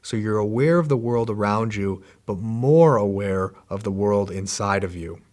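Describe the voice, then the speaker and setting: A middle-aged man guiding a meditation class with slow, gentle, repetitive instructions. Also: on the low side. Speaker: meditation teacher.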